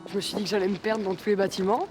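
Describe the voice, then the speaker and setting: A woman's voice: medium pitch, flat delivery, racing, music in the background. A young woman student from Saint-Pierre-et-Miquelon with a Saint-Pierre-et-Miquelon French accent, speaking hesitantly with many fillers in a casual interview. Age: young adult. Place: outdoors on an urban campus. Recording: outdoor interview with clear street noise.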